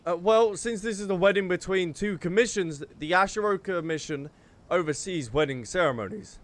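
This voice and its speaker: A man's voice: high-pitched, normal speed. A man doing casual, jokey game playthrough commentary, teasing and often repeating his phrases. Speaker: gaming streamer.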